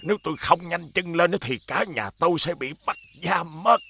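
Ông lão làm vườn, giọng cao, lên xuống rõ. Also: male voice, on the high side, expressive, normal speed, creaky, sharp tone, breathy edge, heavily acted. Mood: anxious, flustered. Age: older. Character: elderly village gardener, anxious servant of a tyrant. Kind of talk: animated fairy-tale acting.

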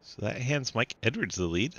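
Male race commentator, stuffy from a cold, playful, lively delivery. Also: medium pitch, normal speed. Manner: laughs often, playful. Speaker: sim racing commentator. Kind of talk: live race commentary and banter.

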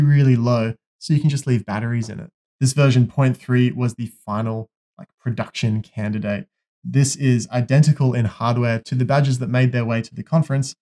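A man's voice: medium pitch, mellow. A young man, casually explaining an electronics project in a workshop in a friendly, upbeat way. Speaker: electronics presenter.

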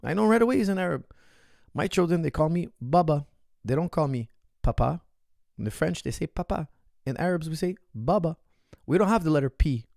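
Male coach, 30s, casual podcast Q&A, gravelly and emphatic, repeats himself for weight, dry close-mic sound. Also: on the high side, brisk, no room sound. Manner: energetic, repeats phrases for emphasis, gestures widely. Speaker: martial arts coach. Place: small indoor room, seated. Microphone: studio mic on boom arm, close.

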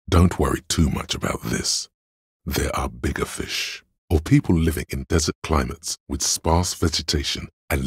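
Gravelly, extremely deep voice of logic. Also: male voice, dry recording, clean studio sound, exaggerated voice. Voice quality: gravelly, husky bass. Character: cool-headed, analytical inner voice of logic, male. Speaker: voice actor. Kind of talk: scripted game narration as an inner voice.

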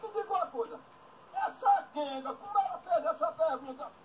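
A man playing a shrill cranky old backcountry man. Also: expressive, a little hiss or hum, rough recording. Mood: indignant. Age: older. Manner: shrill, insulting, quick to anger. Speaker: comic character. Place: outdoors at a rural country house.

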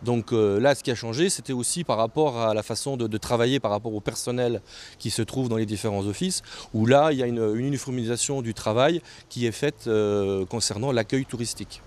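An older man, a local official answering interview questions in a halting way, often repeating words. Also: medium pitch, a little mellow. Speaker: local mayor and tourism official. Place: outdoors on a terrace above a town street. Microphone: handheld interview microphone held close. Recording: clear outdoor street noise.

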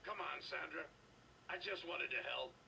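An actor playing an anxious, meek older father in a video game, plaintive and creaky. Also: on the high side, normal speed, sharp tone, recorded from a distance, exaggerated voice. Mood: pleading. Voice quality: creaky, gravelly. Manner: plaintive, swooping delivery. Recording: game audio filmed off a TV, noisy.

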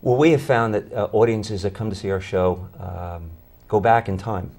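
A man's voice: lowish voice, normal speed, darkish tone. A middle-aged man, a musician answering conversationally as an interview guest. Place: small TV studio, indoors. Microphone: lapel mic.

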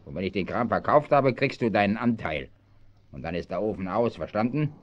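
An actor playing a gruff, threatening caravan thief with a sneering manner in a German children's radio play. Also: male voice, on the low side, animated, fairly quick, lightly acted. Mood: irritated. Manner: menacing, sneering. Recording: dull 1970s audio drama recording.

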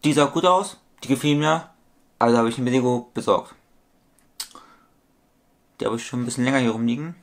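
Thirties man, at-home webcam vlogger, rambling and repetitive, average pitch. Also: fairly quick, creaky, pauses often. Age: 30s. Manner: rambling, repetitive, corrects his own sums aloud. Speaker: toy collector vlogger. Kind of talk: casual vlog monologue. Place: small room at home with shelves.

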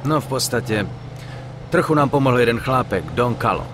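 An actor playing a young, gruff, world-weary war veteran in a video game, dry and blunt. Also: male voice, mid-range voice, lively intonation, noisy background, natural voice. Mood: dry, irritated. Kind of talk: video game narration and dialogue.

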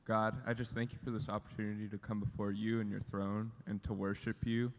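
A man leading worship, praying quickly and earnestly before the songs. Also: lowish voice, flat delivery, in a large hall. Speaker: worship leader. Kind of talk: spoken prayer. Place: large auditorium stage. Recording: music under the voice.

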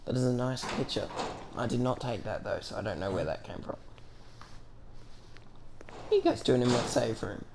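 A man's voice: mid-range voice, moderate pace, creaky, long pauses. A man giving casual, dry and sarcastic commentary while playing a video game. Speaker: gaming commentator. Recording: loud game audio and noise behind.

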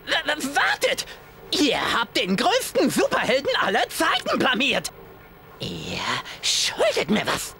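A wildly swooping, melodramatic fanatic. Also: male voice, very high voice, huge pitch swings, unhurried, gravelly, bright, forceful tone, soft background music, full character voice. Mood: shrieking, frantic. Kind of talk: video game cutscene acting.

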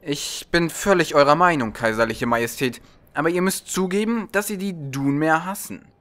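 A man's voice: a little high, normal speed, a bit bright, pitched above the performer's own voice, lightly acted. A man voicing a courtly, calculating serpent-man advisor in a formal, deferential audiobook manner. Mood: agreeing, persuasive.

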